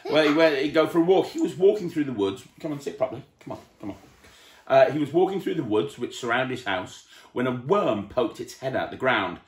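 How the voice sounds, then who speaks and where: A man reading a children's story aloud as an entertainer, in an expressive storybook style. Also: medium pitch, a little fast. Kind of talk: animated children's storybook narration. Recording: roomy, echoey indoor sound.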